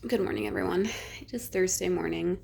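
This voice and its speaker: Young woman bookish vlogger, slightly low voice, rambly and casual, soft hushed moments. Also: brisk, creaky. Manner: chatty, rambling, many "like"s and "um"s. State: congested. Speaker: book vlogger. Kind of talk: casual reading vlog chat.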